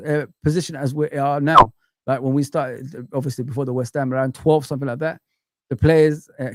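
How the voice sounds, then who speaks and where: A man from Africa chatting casually as a football pundit on a fan livestream, reminiscing in an animated way and often adding "you know what I mean". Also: fairly high pitch, dry recording.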